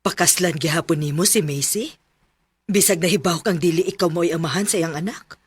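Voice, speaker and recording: A woman's voice: lowish voice, a little fast, lightly acted. An actress playing a concerned mother questioning her grown son in a radio drama, with a Cebuano Filipino accent.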